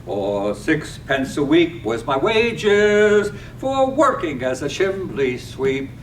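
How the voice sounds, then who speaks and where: A man's voice: a little high, sing-song, slow. A man playing a drunken, merry pub drinker who declaims and bellows out song in a staged reading of a radio drama. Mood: drunken singing. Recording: roomy, distant mic, faint noise.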